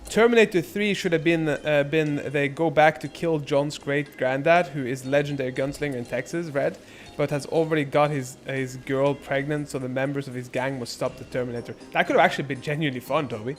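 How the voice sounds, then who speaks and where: A man's voice: fairly high pitch, fairly even intonation, fairly quick, hardly any pauses, soft background music. Rambling Norwegian-born streamer man. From Norway. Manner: rambling, laid-back, lots of filler and swearing. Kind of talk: casual live-stream chat.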